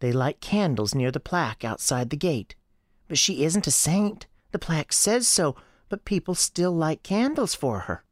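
A woman voicing a young girl with a high girlish voice and a folksy, childlike storytelling manner in an audio drama. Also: expressive, normal speed, dry recording, clean studio sound. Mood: earnest. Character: young Southern girl Sarah, earnest, defiant, chatty. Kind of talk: acted audio drama dialogue.

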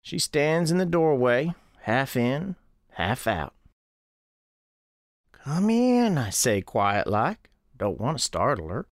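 An actor playing an elderly country storekeeper, narrating an audio drama in a folksy, deliberate, musing way. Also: male voice, medium pitch, big pitch swings, no echo, studio quality. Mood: hushed, watchful. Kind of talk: first-person scripted narration, audio drama.

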